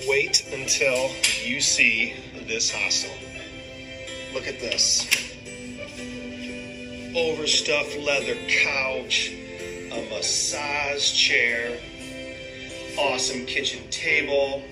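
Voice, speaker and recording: A man's voice: mid-range voice, fairly even intonation, extremely slow, long pauses. Middle-aged man, roomy casual vlog. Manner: enthusiastic, informal. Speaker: hiker and video reviewer. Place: indoors in living rooms of a house. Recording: roomy, distant mic, music behind.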